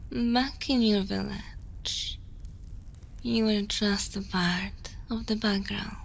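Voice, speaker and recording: A woman playing a dominant, possessive yet motherly evil queen in an ASMR roleplay, speaking softly and intimately close to the microphone, often in a breathy whisper. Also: medium pitch. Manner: slow, deliberate, commanding, intimate.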